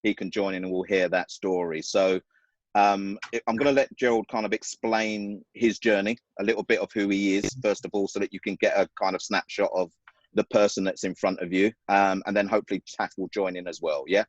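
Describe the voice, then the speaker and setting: A man hosting a video call in an upbeat, chatty way, quick to praise. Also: lowish voice, fairly even intonation, dry recording. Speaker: host and organizer. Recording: dull dry video call audio.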